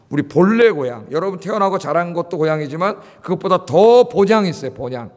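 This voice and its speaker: A man in his fifties from Seoul, preaching a sermon to a church congregation in a chatty way, often asking them questions. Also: high-pitched. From Seoul, South Korea. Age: 50s. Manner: conversational, asks the congregation questions. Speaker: pastor. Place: church sanctuary. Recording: long hall reverb.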